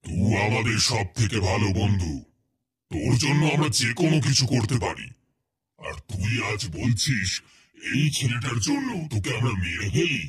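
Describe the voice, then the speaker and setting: A man's voice: fairly low pitch, very animated, normal speed, husky, full character voice. A man playing a threatening, fiercely loyal ghost in a horror cartoon, speaking bluntly. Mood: hurt. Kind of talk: cartoon horror story acting.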